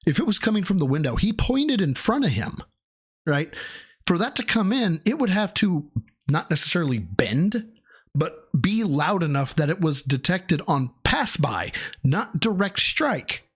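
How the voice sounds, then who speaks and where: A man's voice: sits high, big pitch swings, unhurried, slightly rough. A man doing profane, sarcastic reaction commentary on a clip, mocking the speaker and reading out viewer messages. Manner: sarcastic, swears a lot, mocking.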